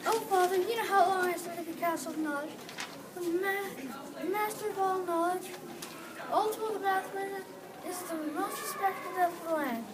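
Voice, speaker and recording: A woman's voice: very high voice, normal speed. A female reader playing an eager, studious young daughter in a readers theatre play. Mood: wistful. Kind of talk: readers theatre, reading from a script. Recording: distant mic, loud noisy room chatter.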